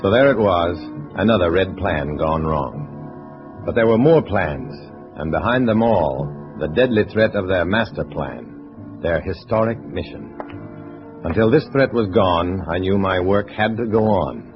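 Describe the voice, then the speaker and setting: An actor playing a guarded, weary undercover agent who narrates in a clipped, brooding style in a 1950s radio drama. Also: male voice, low voice, expressive, unhurried. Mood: grave, resolute. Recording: dull vintage radio recording, loud music.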